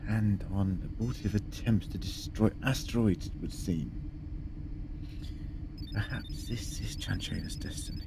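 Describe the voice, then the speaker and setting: Guy acting an eccentric sci-fi adventurer man, lowish voice, flippant and curious, noisy backdrop. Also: unhurried, vocal fry. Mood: inquisitive, wry. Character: whimsical, eccentric male time-travelling adventurer scientist. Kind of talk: science fiction audio drama acting. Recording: noticeable background noise.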